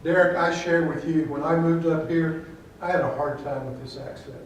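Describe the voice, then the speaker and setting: Brief: older male moderator, fairly high voice, encouraging, roomy distant sound. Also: steady tone, normal speed. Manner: friendly, prompting. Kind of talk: moderating a panel. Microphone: handheld mic. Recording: echoey room from far off, faint noise.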